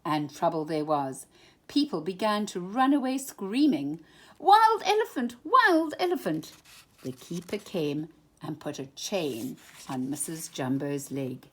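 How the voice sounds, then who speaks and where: A woman reading a children's storybook aloud at bedtime in an expressive, animated way. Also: low-pitched, measured pace.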